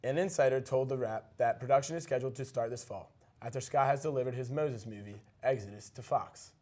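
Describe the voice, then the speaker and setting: A man's voice: medium pitch, fairly quick, darkish tone. A man co-anchoring a news segment, reading scripted stories with brief casual remarks and occasionally stumbling over a word.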